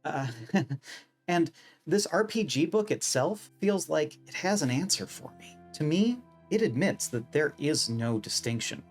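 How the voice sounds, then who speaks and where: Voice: medium pitch, lively intonation, a little slow, soft background music. A video essayist and host delivering scripted narration with casual, self-deprecating asides and the odd swear word.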